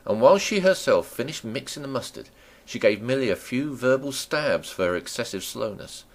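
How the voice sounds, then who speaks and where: A man narrating a classic novel as a dramatic reading, with theatrical, deliberate phrasing. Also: mid-range voice, lively intonation. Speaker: audiobook narrator.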